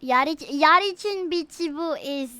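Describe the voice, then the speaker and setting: A woman's voice: sits high, measured pace, sharp tone, no room sound. A young woman livestreamer from the Philippines talking casually and candidly to her viewers, in an informal way. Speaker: livestreamer playing a video game.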